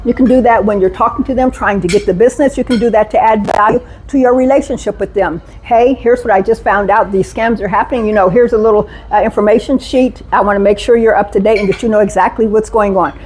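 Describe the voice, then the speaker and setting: A woman giving a live safety talk to a conference audience, energetic and engaging, often asking the room questions. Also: medium pitch, fast, few pauses, a little hiss or hum, low-quality recording. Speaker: safety speaker.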